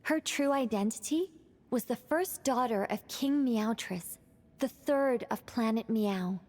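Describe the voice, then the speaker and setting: A woman's voice: on the high side, unhurried, a little mellow. A woman voicing a composed, playful young female mage who tells tales theatrically in a video game. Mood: dramatic. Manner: theatrical storytelling. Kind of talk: video game voice acting, dramatic narration.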